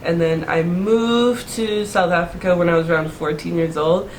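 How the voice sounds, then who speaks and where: A woman's voice: lowish voice, steady tone, moderate pace, a little hiss or hum. A young woman from Taiwan in a casual interview, talking loosely with lots of "like" and restarts. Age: young adult. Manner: rambling, many "like" fillers and restarts. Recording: echoey room.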